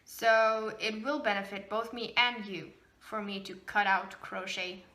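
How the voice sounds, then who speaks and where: A woman's voice: mid-range voice, steady tone, measured pace, sharp tone. A woman whose native language is Norwegian, hosting a casual knitting podcast and chatting freely with frequent laughs and fillers. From Norway. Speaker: podcast host, knitting designer. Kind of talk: casual chat.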